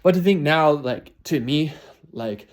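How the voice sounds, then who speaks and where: A man's voice: fairly high pitch, expressive, unhurried. A man in his twenties talking through his New Year's resolutions in a casual, motivational way, often repeating and restarting phrases. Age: 20s. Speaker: vlogger.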